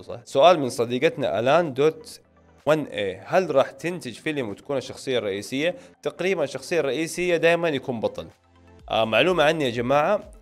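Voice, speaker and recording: A young Saudi man with a Hejazi accent talks very quickly in a mid-range voice. He addresses his audience directly and informally, reading questions and answering them on a close microphone, with music playing underneath. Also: medium pitch. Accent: Saudi Hejazi Arabic. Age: late 20s. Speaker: film and series YouTuber. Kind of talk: casual Q&A to camera, reading viewer questions aloud and answering. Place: small indoor home studio. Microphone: microphone on a stand close in front.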